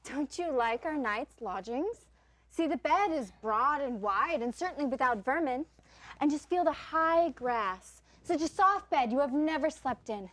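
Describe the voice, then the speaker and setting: A woman's voice: sits high, animated, a little slow, fairly bright tone. A woman playing an exuberant, teasing male clown in a stage play, with declamatory, mock-grand delivery. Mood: mock-tender. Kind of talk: theatrical stage acting.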